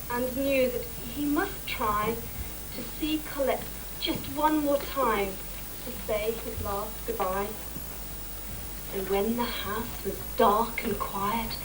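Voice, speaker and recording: Female pantomime narrator, measured rhyming storytelling, unhurried, recorded from a distance with clear noise. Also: on the high side. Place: live staff pantomime in a hall. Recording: distant, noisy amateur stage recording.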